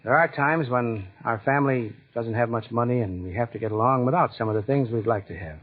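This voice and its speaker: A man's voice: medium pitch, fairly quick, lightly acted. An American actor playing an earnest, proud but downhearted young husband and stepfather in a 1940s radio drama. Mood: apologetic, subdued. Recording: muffled, narrow-band 1940s radio recording.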